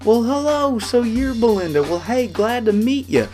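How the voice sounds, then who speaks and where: A man's voice: very high voice, expressive, normal speed, dark tone, soft background music, pitched above the performer's own voice. A man voicing an eager, rambling cowboy who pours on folksy charm in an audiobook. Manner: gushing, folksy, run-on politeness.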